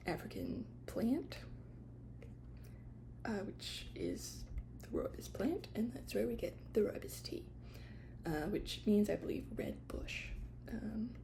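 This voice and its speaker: A woman doing an ASMR shop roleplay as an attendant, whispering gentle explanations in a hesitant, unscripted way. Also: mid-range voice, vocal fry. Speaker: ASMR creator playing a tea shop attendant.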